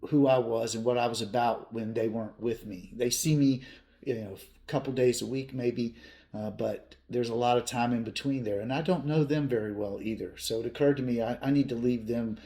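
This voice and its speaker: A man's voice: medium pitch, steady tone, a little fast, dark tone. A man in his fifties hosting a hobby channel, talking casually to viewers in an earnest, plain-spoken way with emphatic repetition. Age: 50s.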